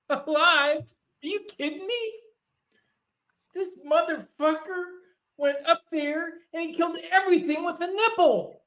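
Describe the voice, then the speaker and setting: A performer playing a gruff, folksy small-town male sheriff with a colloquial, sarcastic manner at an amateur table read. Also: very high-pitched, a little slow, pauses often, fairly bright tone, low-quality recording. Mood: incredulous, exasperated.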